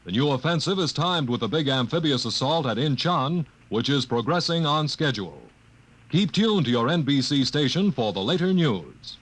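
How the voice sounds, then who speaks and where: A man's voice: on the high side, animated, normal speed, a bit bright. A man reading a 1950s radio news bulletin in a formal, urgent announcer's manner. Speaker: newsroom announcer.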